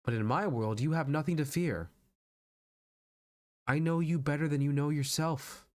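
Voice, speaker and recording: A man performing a dramatic film monologue as a controlling, fatherly television creator, measured, grandiose and coaxing. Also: fairly high pitch, darkish tone, no room sound, studio quality, close to an everyday voice. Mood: coaxing, tender. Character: calm, controlling, fatherly male TV show creator.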